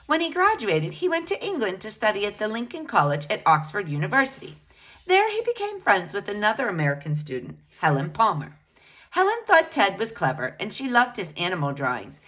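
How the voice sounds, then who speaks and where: A woman reading a biography aloud for children in an expressive, teacherly way. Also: mid-range voice. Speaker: children's book reader.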